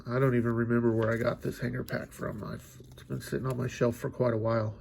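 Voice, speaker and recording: A man's voice: mid-range voice, level tone, fast, creaky, a little mellow, a little hiss or hum. A congested, stuffy-sounding man opening card packs and chatting casually, musing aloud with lots of fillers. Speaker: card collecting channel host. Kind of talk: casual pack-opening commentary.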